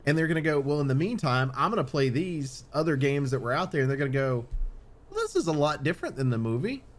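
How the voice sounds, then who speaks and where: A man's voice: fairly high pitch, very animated, fast. A man hosting a podcast in casual, opinionated chat, rambling and circling back over his points with casual swearing. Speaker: podcast host.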